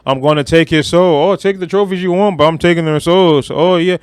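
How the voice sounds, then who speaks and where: A man with a slightly high voice chats as a podcast co-host. His talk is blunt, slangy and sweary, loose and conversational. The recording is dry and close, with little room sound. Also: steady tone, a little fast, clear, resonant tone, no echo. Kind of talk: casual chat.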